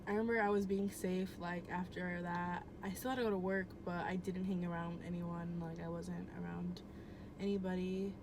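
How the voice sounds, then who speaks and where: Rambling young woman over steady noise. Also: fairly low pitch, moderate pace. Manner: rambling, full of "um" and "like", swears casually. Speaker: vlogger. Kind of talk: casual personal storytelling. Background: air conditioner hum.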